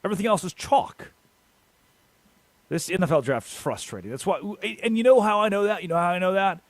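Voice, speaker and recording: A young man hosting a sports radio show, animated and jokey, rambling through asides in a studio. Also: high voice, brisk, no room sound. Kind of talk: energetic sports talk radio. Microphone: studio microphone.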